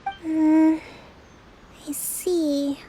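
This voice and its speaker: A woman voicing a gentle young priestess leader in a video game, composed, polite and measured. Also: high-pitched, flat delivery, slight background noise. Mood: patient. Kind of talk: video game character acting.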